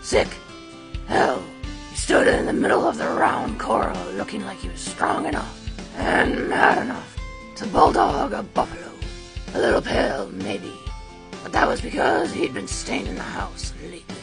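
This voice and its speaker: A woman's voice: mid-range voice, sing-song, moderate pace, creaky. A woman reading a western story aloud in a casual, chatty way, breaking off to comment on the text, with music behind. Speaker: storytime host.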